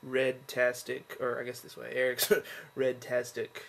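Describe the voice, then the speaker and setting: A tired young man rambling in a low-key way to a webcam from his bedroom, recording a casual video diary. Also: completely flat delivery, a little slow, vocal fry, fairly bright tone. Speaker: vlogger. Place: bedroom at home.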